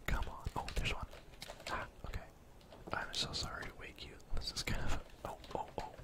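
A young man doing whispered ASMR game commentary in a breathy, unvoiced voice, murmuring to himself as he plays indoors. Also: moderate pace. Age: young adult. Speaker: gaming streamer. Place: indoor room at home.

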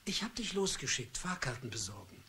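An actor playing a bitter middle-aged husband who speaks in a cold, deliberate and accusing way in a radio play. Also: male voice, mid-range voice, sing-song, pushed and bright, breathy edge, exaggerated voice. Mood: coldly accusing. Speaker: husband Charles.

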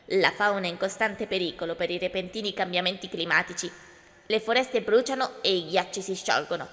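A woman's voice: on the low side, fairly quick, fairly bright tone, lightly acted. A woman playing an earnest young activist giving a rousing speech in an anime fan drama, with hall reverb.

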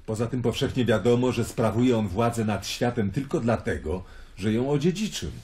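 An actor in a radio drama playing a worldly Roman traveler fascinated by prophecy, speaking in a wry, eloquent storytelling manner. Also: male voice, mid-range voice, lively intonation, moderate pace, a little mellow, lightly acted. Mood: earnest, persuasive.

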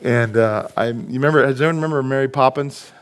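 A middle-aged pastor with a cold speaks from a church stage at a mid-range pitch. He preaches in a chatty way, often asking the congregation questions, and mixes a joke or two into the sermon. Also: quick pace, clean tone. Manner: conversational, asks the congregation questions. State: has a cold. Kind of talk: sermon with jokes.

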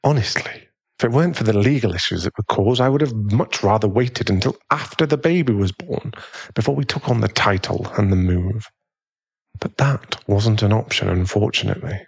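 Husky British werewolf boyfriend, acted by a man. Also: fairly low pitch, moderate pace. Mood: reassuring, caring. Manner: soothing, affectionate, genteel. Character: tender, protective aristocratic male werewolf fiancé. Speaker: ASMR roleplay performer. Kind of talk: ASMR boyfriend roleplay.

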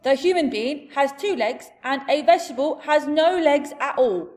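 A woman's voice: sits high, expressive, normal speed, darkish tone, pitched above the performer's own voice. A woman voicing a big, friendly, blustering male giant from a storybook, speaking emphatically in jumbled grammar and made-up words. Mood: scolding. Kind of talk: storybook character voice.

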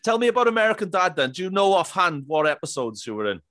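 A man's voice: high-pitched, big pitch swings, fast, a bit bright, dry recording. Enthusiastic British man, dry close sound. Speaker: podcast host. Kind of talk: casual interview chat. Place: small indoor room, video call. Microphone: studio microphone on arm, headphones.

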